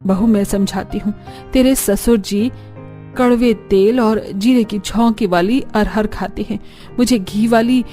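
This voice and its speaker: A middle-aged cartoon mother-in-law, played by a female narrator, bossy and demanding. She gives orders briskly in a mellow voice, pitched below the narrator's, over soft music. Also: fairly even intonation, close to an everyday voice. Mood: instructive. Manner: brisk, commanding.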